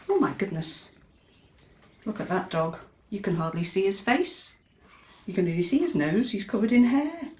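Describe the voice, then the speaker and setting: A British woman teacher reading a picture book aloud to young children, expressive and chatty, often asking her listeners questions. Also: mid-range voice. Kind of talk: animated children's storytime read-aloud with chatty asides. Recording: echoey, reverberant room.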